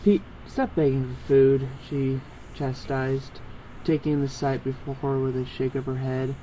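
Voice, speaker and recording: Mellow-voiced man, informal and stumbling as he chats or reads, on a slightly noisy group call. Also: medium pitch, level tone. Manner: halting, stumbles when reading. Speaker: participant in a group fan fiction reading. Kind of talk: casual chat and halting read-aloud. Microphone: internet group voice call.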